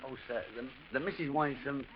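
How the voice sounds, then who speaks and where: An actor playing a fussy, deferential English gardener with a working-class accent who stammers and grumbles, in 1940s radio drama style. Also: male voice, medium pitch, brisk, lightly acted. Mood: deferential, puzzled. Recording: muffled, noisy vintage radio drama recording.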